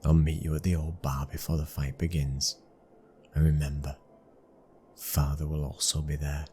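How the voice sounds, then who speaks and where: A British man in an ASMR boyfriend roleplay, playing a commanding, protective young mafia boss's son with a low, husky, controlled voice. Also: little pitch movement, normal speed, warm tone, a little hiss or hum. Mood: cold, commanding.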